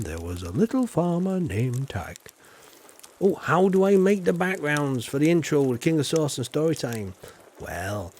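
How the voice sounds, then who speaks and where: A man's voice: mid-range voice, a little mellow, slight background noise. A man hosting a livestream, chatting to viewers in a rambling, folksy way full of filler. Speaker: livestream host. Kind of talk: casual livestream chat to viewers.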